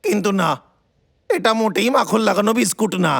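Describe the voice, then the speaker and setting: Cartoon schemer: money-hungry, excitable, creaky high voice. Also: male voice, brisk, heavily acted. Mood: scheming. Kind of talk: animated cartoon dubbing. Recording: music behind the voice.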